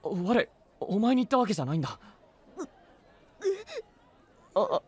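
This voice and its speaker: An actor playing a prickly but kind-hearted young man in an anime voice drama, speaking in blunt, rough casual language. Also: high-pitched, sing-song, very fast, heavily acted. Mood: flustered, irritated. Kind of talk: Japanese anime voice drama acting.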